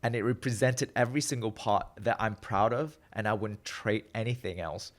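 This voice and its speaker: A man in his thirties from Malaysia, with a Malaysian-British accent that carries Midlands traces, speaking as a guest researcher in a reflective, animated way. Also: on the low side, moderate pace. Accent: Malaysian-British English with Midlands traces. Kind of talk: conversational interview.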